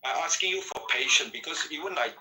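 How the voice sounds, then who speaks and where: A man's voice: on the high side, fast talker, bright, forceful tone, poor audio. A man in an online debate who speaks in broken phrases and keeps asking for patience.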